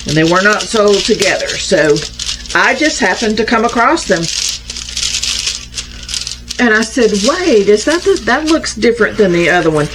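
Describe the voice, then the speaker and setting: A woman hosting a casual crafting unboxing vlog, chatty and homey, slightly raspy from a starting cold. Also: medium pitch, noisy background. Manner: chatty, homey, addresses viewers as y'all. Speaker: crafting channel host.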